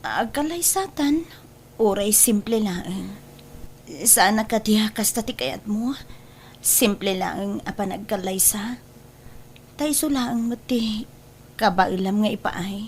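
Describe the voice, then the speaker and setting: Slow-speaking young Ilocano woman in love acted. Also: mid-range voice, a little hiss or hum. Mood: hesitant, sad. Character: young woman in love, pleading and stubborn daughter. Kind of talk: dramatized Ilocano radio-style story acting.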